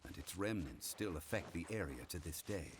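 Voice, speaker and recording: Low, composed, scholarly gentleman. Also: expressive, background noise. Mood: composed, instructive. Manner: measured, formal, composed. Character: calm, dignified, scholarly man of formal bearing. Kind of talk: video game character acting.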